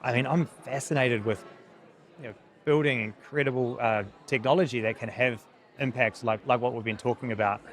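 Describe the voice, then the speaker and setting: New Zealand man, thirties, medium-pitched voice, earnest and halting interviewee explaining his work. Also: steady tone. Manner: earnest, hesitant with repeated words. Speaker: technology company founder, interview guest. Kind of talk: conversational interview answers.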